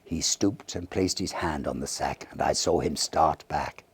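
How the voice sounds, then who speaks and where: A man reading a Victorian ghost story as an audiobook narrator, theatrical and expressive, with deliberate phrasing. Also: a bass voice, slightly rough.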